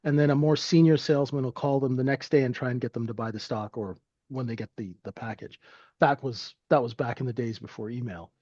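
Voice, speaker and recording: A man's voice: mid-range voice, brisk, a little mellow, no room sound, muffled recording. A man in his fifties from Canada, telling his life story in a rambling, friendly way with lots of fillers. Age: 50s. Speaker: guest trader. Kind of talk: casual interview storytelling.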